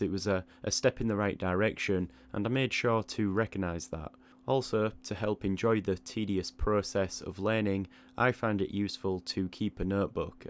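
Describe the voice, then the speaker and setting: Low-voiced man giving reflective advice. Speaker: online art channel creator. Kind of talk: reflective advice monologue, audio log.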